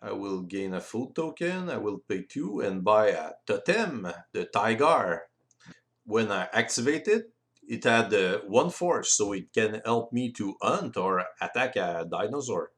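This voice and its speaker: Slow, lilting Frenchman explaining a game. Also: fairly low pitch, big pitch swings, fairly bright tone. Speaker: board game rules presenter. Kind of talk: explanatory walkthrough of game play.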